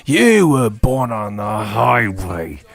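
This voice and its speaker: A comically cruel uncle, as a storyteller plays him. The middle-aged man speaks low and scornful, scolding with open contempt in an audio comedy. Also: sing-song, slow, creaky, heavily acted.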